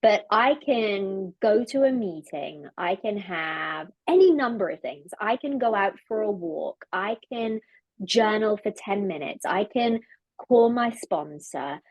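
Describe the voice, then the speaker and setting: A woman with an English accent talks through her life in a relaxed conversation. She is earnest and reflective, circling back and restarting her phrases as she thinks. Her voice sits at a middle pitch and is recorded close and dry, with no room around it. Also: slow pace, no room sound, muffled recording. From London. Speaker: podcast guest, leadership coach. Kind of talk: casual conversation.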